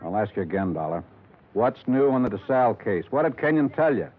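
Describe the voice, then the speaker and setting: An actor playing a tough, weary middle-aged small-town police lieutenant in a 1950s radio detective drama, gruff and commanding with clipped questions. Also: male voice, medium pitch, very animated, a little fast. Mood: hard, pressing. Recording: 1950s radio broadcast, thin phone-like band.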